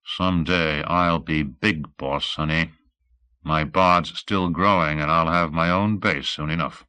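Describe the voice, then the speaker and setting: Man playing a low-voiced, terse, cocky young photojournalist. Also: fairly even intonation, slow pace, pushed and bright, clean tone, no room sound, exaggerated voice. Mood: gruff, cocky. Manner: dry, terse. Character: small, still-growing male photojournalist, cocky, gruff, terse.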